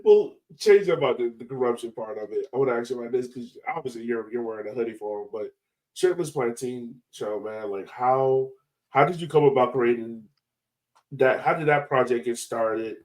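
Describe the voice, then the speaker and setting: Casual male podcast host, mid-pitched. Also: normal speed, darkish tone, rough recording. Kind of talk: casual interview chat.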